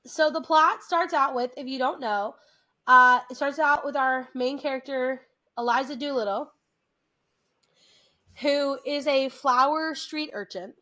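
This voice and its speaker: An American woman co-hosting a podcast, chatting casually, animated and quick to restart her sentences. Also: high-pitched. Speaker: podcast co-host. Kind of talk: casual chat.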